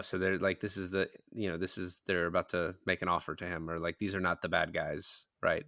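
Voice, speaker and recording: A man's voice: low voice, fairly bright tone, dry recording, clean studio sound. A man in a casual film-recap chat, rambling along and acting out imagined lines as he goes.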